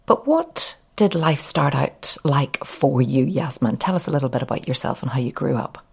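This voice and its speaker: Low-voiced woman hosting a podcast. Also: expressive, moderate pace, creaky, darkish tone, slightly rough. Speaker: podcast host. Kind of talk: scripted introduction and interviewing.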